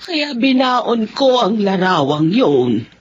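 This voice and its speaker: An actress playing a weary, tender older sister in a Filipino radio drama, speaking plaintively with a Filipino accent. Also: female voice, medium pitch, very animated, takes it slowly. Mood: earnest recollection. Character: long-lost older sister, weary, tender, once a runaway. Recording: muffled, noisy radio broadcast.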